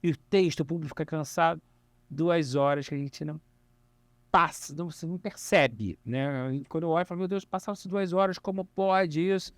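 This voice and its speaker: A man's voice: a little high, a little fast, no echo. Effusive Brazilian man hosting an interview. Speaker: podcast host. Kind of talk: conversational interviewing.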